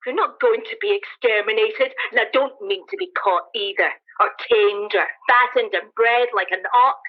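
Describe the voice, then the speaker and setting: A woman plays a cynical, defiant soldier who has survived a catastrophe in a radio drama. The talk is colloquial and emphatic, at a middling pitch. The sound is thin and band-limited, like a phone line. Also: moderate pace, natural voice. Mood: fierce, defiant. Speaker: amateur actor in a radio play. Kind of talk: dramatised radio play dialogue.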